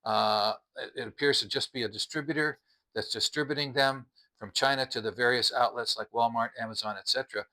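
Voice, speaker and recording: A mature man talks through a product in a casual review style. His voice is bright and forward, sitting at an average male pitch. He explains things plainly and methodically, point by point. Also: steady tone, brisk.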